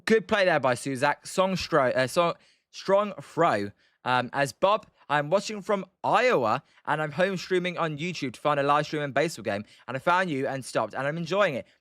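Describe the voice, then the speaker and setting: A man's voice: on the high side, expressive, normal speed, a bit bright, dry recording, clean studio sound. A young British man hosting a live stream, giving casual game commentary between chat replies, rambling with lots of ums and uhs. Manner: rambling, hesitant, heavy on um and uh.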